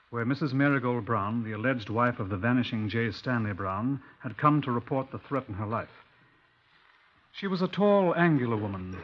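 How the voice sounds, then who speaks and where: Actor as brusque British police superintendent, muffled old radio. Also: male voice, mid-range voice, natural voice. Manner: clipped, formal, brusque. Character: impatient, gruff male London police superintendent. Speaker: narrator and lead actor. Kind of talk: 1950s radio police drama acting and narration.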